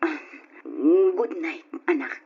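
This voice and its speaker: A woman acting a weary, caring mother and long-suffering wife with a husky voice in an old Ilocano radio drama. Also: sits high, sing-song, takes it slowly, muffled recording, faint music behind, exaggerated voice. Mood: weary, gentle.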